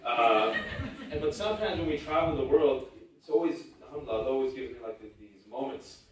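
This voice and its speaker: A man's voice: medium pitch, fairly quick. A man from California whose first language was Urdu, giving a humorous, self-deprecating personal speech at a campus event. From California, United States. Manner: joking, self-deprecating storyteller. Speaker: guest speaker at a campus event. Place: large lecture hall. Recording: echoey lecture hall, distant mic.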